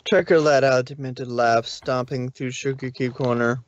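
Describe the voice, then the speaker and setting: A man's voice: mid-range voice, fairly even intonation, moderate pace, no echo, highs cut off. A man reading a story aloud as amateur narrator, sometimes stumbling over the words.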